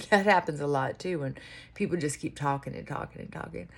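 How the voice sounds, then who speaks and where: A woman vlogger talking in casual, rambling chit-chat, reflective and halting, often trailing off and circling back. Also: sits low, creaky, noisy background.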